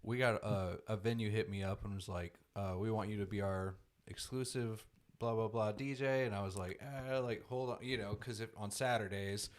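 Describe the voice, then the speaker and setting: A man's voice: on the low side, steady tone, moderate pace. A man co-hosting a casual podcast, joking and swearing loosely. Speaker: podcast co-host, DJ.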